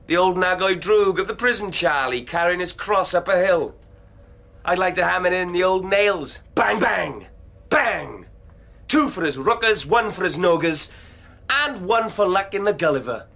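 Cocky, savage young delinquent. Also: male voice, sits high, expressive, normal speed, creaky, pushed and bright, slight background noise, exaggerated voice. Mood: defiant, savage. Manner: insolent, theatrical slang-laden talk. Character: cocky, violent young male delinquent narrator, gleefully savage. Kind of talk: radio drama acting.

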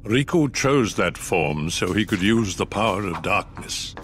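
A man voicing a stern, aloof and wise advisor in a video game, grave and measured. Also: lowish voice, animated, fairly bright tone. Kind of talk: video game cutscene acting.

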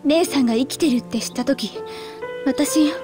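A woman's voice: high voice, moderate pace, over music, lightly acted. An actress playing a bitter, grieving young girl in a Japanese video game, speaking in cold, accusing, formally polite sentences. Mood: grieving, hurt.

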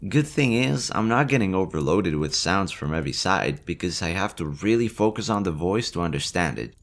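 A man voicing a nervous, gentle young male slime monster in an audio roleplay, talking softly and hesitantly. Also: sits low, lively intonation, brisk. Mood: chatty. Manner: soft, hesitant, chatty.

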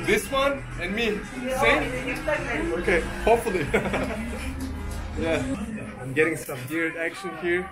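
A man's voice: high-pitched, very animated, unhurried, pauses often, over loud music. A young man in his twenties, a travel vlogger, chatting and joking playfully with his barber while getting a haircut in a small barbershop. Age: 20s. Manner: playful, joking, laughs often. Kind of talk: casual chat. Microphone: handheld camera close up.

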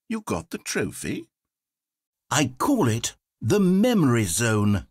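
Brief: self-important game narrator, theatrical, lively swings in pitch, bone-dry studio sound. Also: male voice, slightly rough, no room sound, full character voice. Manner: theatrical, pompous, lively delivery. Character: pompous, self-important male narrator, easily rattled. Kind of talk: scripted video game narration.

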